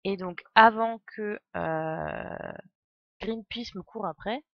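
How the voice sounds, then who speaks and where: A woman's voice: medium pitch, slow pace, no echo. Chatty woman streamer, dry muffled sound. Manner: chatty, playful, digressive asides. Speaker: game streamer. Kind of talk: casual live game commentary.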